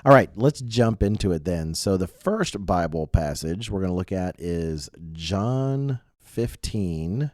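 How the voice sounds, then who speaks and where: A man's voice: sits low, animated, slow, hardly any pauses, darkish tone, no room sound, studio quality. A man co-hosting a casual religious youth podcast in a chatty, self-deprecating way, full of asides, getting over a mild cold. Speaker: podcast co-host.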